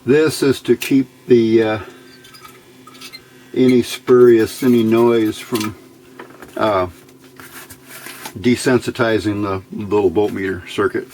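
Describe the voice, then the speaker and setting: A man's voice: on the low side, steady tone, slow pace, pauses often, a little mellow, a little hiss or hum, rough recording. A man giving a casual hands-on electronics tutorial, unscripted and muttering to himself as he works. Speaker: electronics hobbyist, channel host. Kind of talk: casual hands-on build tutorial, thinking aloud. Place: indoor workbench.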